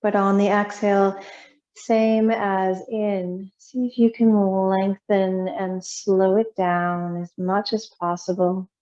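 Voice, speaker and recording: A woman yoga teacher leading a guided meditation in a soothing, unhurried, gently instructing way with long pauses. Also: medium pitch, little pitch movement. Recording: roomy echo, slightly muffled sound.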